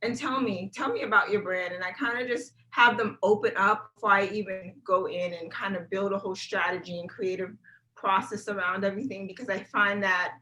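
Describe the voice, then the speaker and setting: A woman's voice: medium pitch, steady tone, fairly quick, pushed and bright, low-quality recording. A woman from South Florida, a guest on an interview podcast, talking energetically and conversationally, often repeating words as she thinks. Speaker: guest, brand videographer. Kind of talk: casual interview chat.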